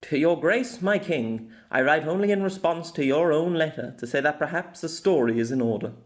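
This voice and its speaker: A haughty young noblewoman of a fantasy audiobook, acted out by a man, pitched well up high. She speaks theatrically, with indignant, accusing airs and swooping emphasis. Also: animated, normal speed, natural voice. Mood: dramatic reading.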